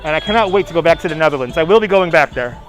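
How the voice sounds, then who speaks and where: A man's voice: on the high side, animated, very fast, soft background music, rough recording. A man with a New York accent chatting casually on a live stream, talking back to viewers by name and laughing often. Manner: chatty, reads chat names aloud, laughs often. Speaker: livestream host. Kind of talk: casual live-stream chat while walking. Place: outdoors on city streets. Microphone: phone on gimbal.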